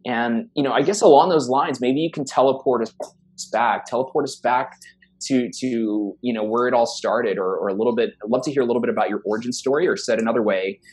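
Man, podcast host, husky, low voice, enthusiastic, rambling questions. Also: a little mellow. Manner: enthusiastic, rambling, repeats words. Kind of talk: hosting an interview.

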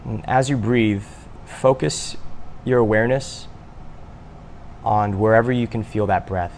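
Low, gravelly male meditation guide. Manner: unhurried, sparse phrases with long pauses. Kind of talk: guided meditation, spoken instruction. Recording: faint steady background noise.

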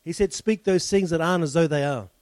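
A man's voice: a little high, fast, a little mellow, no echo. A male pastor leading communion, preaching in a fervent, declarative way with lots of repetition.

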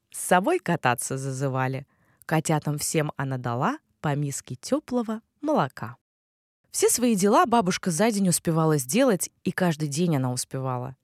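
A woman narrates a children's fairy tale with a soft, sing-song rhythm, her fairly low voice rising and falling in a lively way. The recording is close and dry, with no room sound. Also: no room sound. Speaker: storyteller.

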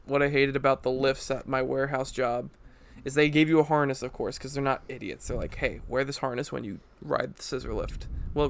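A young man telling stories in a casual podcast chat, laughing often and acting out what people said. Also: medium pitch. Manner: animated storyteller, laughs often, acts out quotes. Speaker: podcast co-host. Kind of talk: casual chat among friends.

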